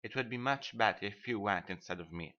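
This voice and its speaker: A man's voice: lowish voice, brisk, sharp tone. A man playing a selfish, sanctimonious miller in a storybook reading, lecturing in a self-righteous manner. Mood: pleading, manipulative. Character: selfish, sanctimonious male miller, smugly preaching friendship. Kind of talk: scripted storybook dialogue. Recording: dull, band-limited audio.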